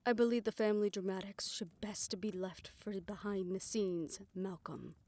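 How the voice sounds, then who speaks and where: A woman's voice: mid-range voice, fairly even intonation, normal speed, darkish tone, lightly acted. A woman playing a composed arbitrator at a vampire council in an audio drama, speaking politely and formally. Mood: reproving. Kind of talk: audio roleplay drama acting.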